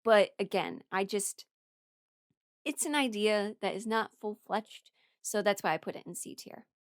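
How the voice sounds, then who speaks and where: A young adult woman rambling casually through her opinions as a video creator, expressive and emphatic, blunt but apologetic. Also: mid-range voice, measured pace, no echo, clean studio sound. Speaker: video creator ranking characters.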